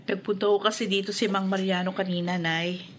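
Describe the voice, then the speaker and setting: A woman's voice: medium pitch, little pitch movement, fairly quick, a little hiss or hum, natural voice. A woman playing a dutiful, hurt young adult daughter in a Filipino radio drama, polite and earnest.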